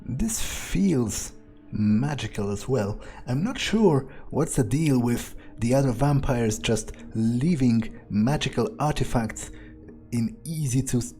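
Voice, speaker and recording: Slow, wry, foul-mouthed male vampire played by a gamer. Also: medium pitch, warm tone. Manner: muttering, wry, swearing often. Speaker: gaming video creator playing in character. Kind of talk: in-character game playthrough monologue.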